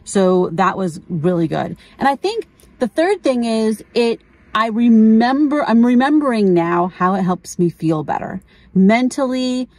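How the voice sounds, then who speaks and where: A woman's voice: on the high side, animated, a little slow, darkish tone. A middle-aged woman chatting casually as a vlogger, informal and candid, often thinking aloud. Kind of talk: casual chat.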